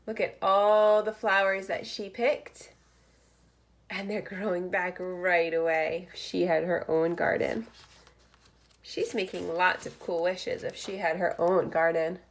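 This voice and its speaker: A woman reading a picture book aloud to young children like a teacher, in expressive, deliberate short phrases with asides. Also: fairly low pitch.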